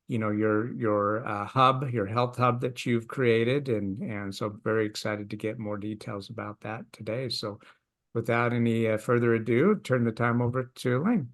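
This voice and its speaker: A man hosting a video call meeting, introducing the next speaker haltingly with many uhs and repeated words. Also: mid-range voice. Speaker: meeting host.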